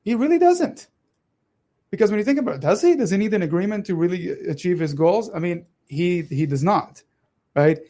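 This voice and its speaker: Israeli-Italian accented man, animated. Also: sits high. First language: Hebrew. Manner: animated, talks fast with many restarts and laughs. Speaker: radio show co-host. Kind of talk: casual political chat.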